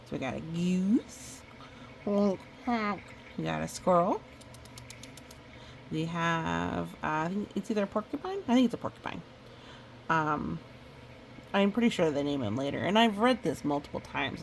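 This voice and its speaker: A woman reading a picture book aloud in a chatty, expressive way, with casual asides. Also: sits low, normal speed, vocal fry, pauses often, a little hiss or hum. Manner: chatty, expressive storytelling with playful sound effects. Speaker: storybook reader.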